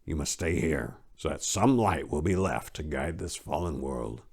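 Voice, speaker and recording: A man voicing a solemn, steadfast prophet in a storybook reading, speaking gravely and earnestly. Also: very deep voice, lively intonation, moderate pace, darkish tone, full character voice. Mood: solemn urging. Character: solemn, steadfast male prophet counseling his king.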